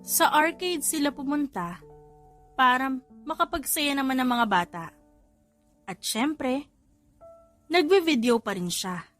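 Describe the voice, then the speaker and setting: Female audiobook narrator, Filipino accent, higher register, scripted love story over a music bed. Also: expressive, measured pace, pauses often, a bit bright.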